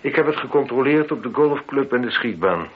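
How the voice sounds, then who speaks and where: An actor playing a shrewd, methodical chief police inspector in a radio play, speaking in a measured, probing and politely insistent way. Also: male voice, medium pitch, animated, breathy edge. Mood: calm, knowing. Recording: dull, noisy old radio play recording.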